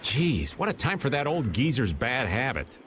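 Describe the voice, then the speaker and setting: Wildly animated, cocky rogue. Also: male voice, medium pitch, moderate pace, slight background noise. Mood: cocky, exasperated. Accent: American. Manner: theatrical, complaining. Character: cocky, whiny middle-aged male rogue, boastful and slippery. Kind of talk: video game voice acting.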